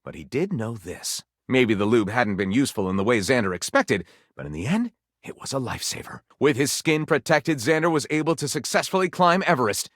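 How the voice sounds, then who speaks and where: A man's voice: medium pitch, big pitch swings, brisk, fairly bright tone, dry recording, studio quality. A man playing a theatrical, tongue-in-cheek narrator of pulpy detective tales in a video game, arch and savoring every dramatic turn.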